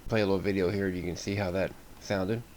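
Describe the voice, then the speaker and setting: A man's voice: low voice, steady tone, fast talker, a little mellow, a little hiss or hum. An American man giving an informal, folksy repair walkthrough as a radio hobbyist, thinking aloud without a script.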